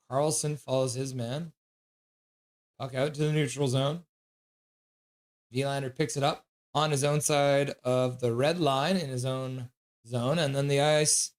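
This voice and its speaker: A man hosting a live stream, calling the action in casual play-by-play and reading chat messages aloud. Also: fairly high pitch. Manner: reads chat messages aloud and chats back; quick, offhand running description of the action. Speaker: livestream host.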